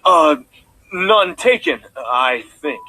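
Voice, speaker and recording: A man's voice: a little high, very animated, slow pace, sharp tone, dry recording, soft background music, full character voice, low-quality recording. A man voicing a distraught, hot-headed cartoon stallion prince who speaks urgently and dramatically. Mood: bewildered. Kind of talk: fan cartoon voice acting.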